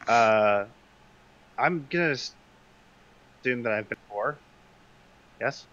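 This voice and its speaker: A man with a mid-pitched voice joins a tabletop roleplaying game, improvising casually. His voice comes through a dull, lo-fi call connection. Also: lively intonation, takes it slowly, a bit bright.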